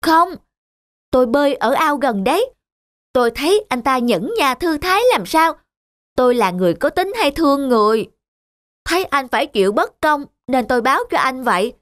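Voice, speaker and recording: A woman voicing an envious, meddlesome female duck in an animated fairy tale, complaining and insinuating as she talks. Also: high-pitched, moderate pace, dry recording, clean studio sound.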